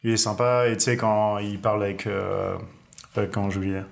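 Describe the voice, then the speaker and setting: Young man, lowish voice, casual slangy chat. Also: fairly even intonation, a little fast, vocal fry. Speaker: podcast co-host. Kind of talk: casual chat about a TV series.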